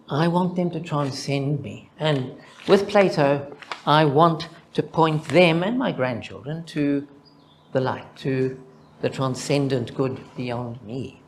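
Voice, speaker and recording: An older man with a Zimbabwean accent, a mathematician reading a prepared conference paper in a deliberate, reflective way, building rhythmic lists. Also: fairly high pitch, slight background noise.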